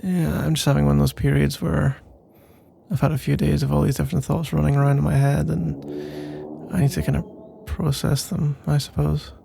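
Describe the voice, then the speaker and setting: A man playing a nervous, pensive roommate in an intimate ASMR roleplay, speaking in a soft, husky, halting ramble. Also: fairly high pitch, slight background noise. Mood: pensive, weary. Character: nervous, pensive male roommate working up to a confession.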